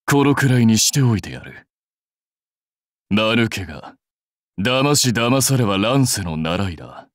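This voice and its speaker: Proud warlord general, anime game voice, fierce, terse, highly dynamic intonation, dry close sound. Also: male voice, very animated, a little slow, long pauses, no room sound. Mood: smug, dismissive. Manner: terse, rough, declamatory delivery. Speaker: voice actor. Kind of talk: anime-style mobile game battle and dialogue voice lines.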